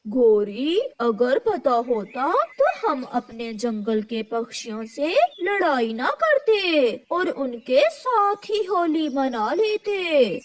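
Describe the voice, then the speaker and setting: A woman's voice: high voice, very animated, slow, hardly any pauses, exaggerated voice. A woman voicing a quarrelsome, bullying male crow in a children's cartoon. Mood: regretful.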